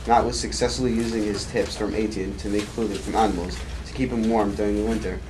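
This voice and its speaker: A man's voice: on the low side, steady tone, quick pace. A man narrating an amateur puppet show, reading hesitantly with frequent restarts. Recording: noisy amateur recording.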